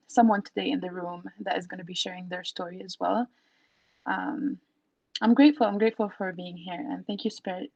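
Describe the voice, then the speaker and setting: A young woman from Jordan, a native Arabic speaker, talks at an open mic in a warm and grateful way. Her voice sits mid-range. The sound is dry and a bit dull. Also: medium pitch, gravelly, no room sound. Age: young adult. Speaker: student speaker.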